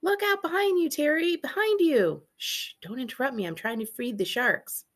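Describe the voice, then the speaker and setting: Casting: excitable storybook boy, played by a woman reader, bossy, easily exasperated, raised pitch, urgent exclamations. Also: normal speed.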